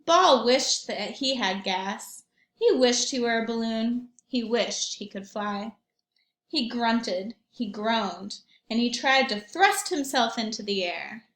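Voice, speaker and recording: A woman with a fairly high voice reads a picture book to young children at a slow pace. She is expressive and uses simple phrasing, in a roomy, echoing space. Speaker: teacher reading aloud to young children. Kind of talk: storybook read-aloud with questions and comments. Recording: roomy echo.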